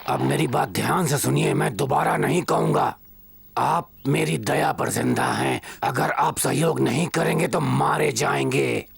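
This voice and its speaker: A man's voice: mid-range voice, very animated, a little slow, gravelly, breathy edge, no room sound, exaggerated voice. A man playing a cold, scheming baron in a cartoon, speaking with menacing, mocking courtesy. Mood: mock-polite menace. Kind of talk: animated cartoon dub acting. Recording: loud music bed under the voice.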